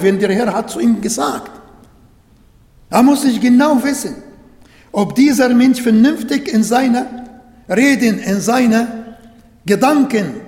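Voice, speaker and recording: A man preaching a sermon in German that is not his first language, slowly and emphatically, repeating words and restarting phrases. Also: extremely high pitch, a little mellow. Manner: halting non-native German, repeats phrases, slow and emphatic. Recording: echoey hall with long reverb.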